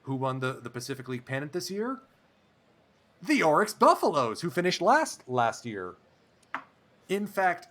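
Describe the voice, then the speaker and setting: A man doing play-by-play commentary over a baseball sim game, excitable and chatty, drifting into asides. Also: fairly high pitch. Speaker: let's play host.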